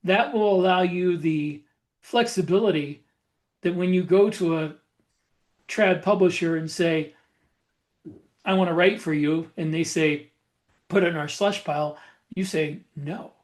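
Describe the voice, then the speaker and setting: A man's voice: high voice, unhurried, pauses often. A man co-hosting a podcast, explaining things in a casual, matter-of-fact way. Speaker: podcast co-host, indie author. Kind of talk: casual advisory chat.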